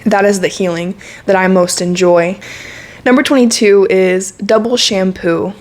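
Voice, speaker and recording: A woman's voice: medium pitch, fairly even intonation, unhurried, darkish tone. A woman in her twenties talking to camera in a casual, reflective monologue, candid and earnest, with slang and frequent laughs. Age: 20s. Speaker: vlogger.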